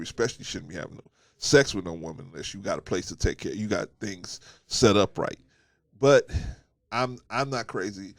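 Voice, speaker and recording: A man hosting his own commentary show, talking through a stuffy nose from a cold in a fairly low voice. He lectures emphatically, drives his points home by repeating them, and keeps checking in with "right?". The sound is dry, with no room echo. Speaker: host of a commentary channel. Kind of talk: casual opinion monologue.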